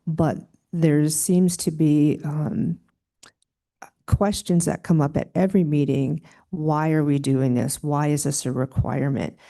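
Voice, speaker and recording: Soft-spoken, gravelly, dark tone, superintendent, committee testimony. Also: medium pitch, steady tone, a little slow. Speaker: school superintendent. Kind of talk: testimony at a legislative hearing.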